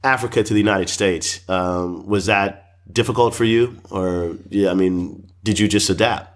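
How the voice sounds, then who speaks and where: A man with a fairly low voice works as a podcast co-host. He interviews in a loose, conversational style and encouragingly repeats bits of the answers back. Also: fairly even intonation, normal speed.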